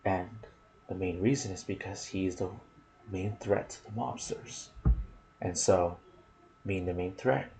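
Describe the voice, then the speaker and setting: A man giving soft-spoken ASMR commentary on a video game, slipping into whispers and pausing between short phrases. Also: sits low, slight background noise. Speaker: gaming channel host.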